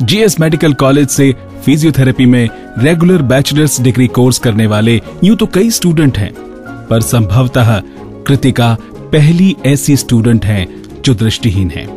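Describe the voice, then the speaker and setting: A man's voice: mid-range voice, animated, moderate pace, a little mellow, faint music behind. A man hosting a radio show with scripted narration.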